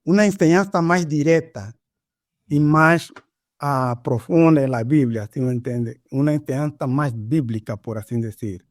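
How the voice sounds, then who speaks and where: Angolan man speaking careful, halting Spanish. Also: medium pitch, no room sound, clean studio sound. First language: Portuguese. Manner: halting, searching for words, many fillers. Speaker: pastor, guest. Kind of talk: testimony in a podcast interview.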